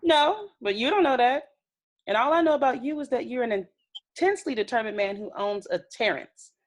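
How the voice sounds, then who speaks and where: A highish, very animated woman's voice an actress playing a candid, wary young film fan. She reads a comedy script aloud. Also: fairly quick. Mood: wary, flattered. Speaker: actor in a scripted table read. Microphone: video call.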